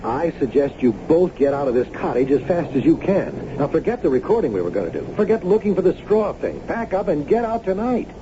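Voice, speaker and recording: An earnest scientist friend in a vintage radio drama, urgent and grave. His voice sits a little high and swings with emphasis. The recording is muffled and clearly noisy. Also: sing-song, a little fast, few pauses. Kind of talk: old-time radio drama acting.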